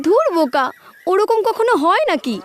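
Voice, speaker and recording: A woman playing an affectionate mother who gently scolds and coaxes her young son, with music behind. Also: very high voice, full character voice. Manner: fond teasing reproach.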